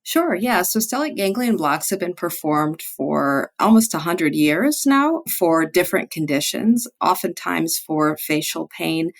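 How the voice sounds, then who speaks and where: A woman physician giving clear, structured explanations as an interview guest. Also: low voice, dry recording.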